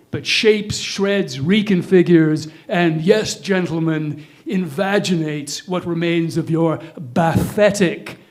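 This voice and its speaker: A man's voice: high voice, a little mellow. A man performing a spoken-word monologue live, with a dramatic, darkly sardonic, ornately enunciated delivery.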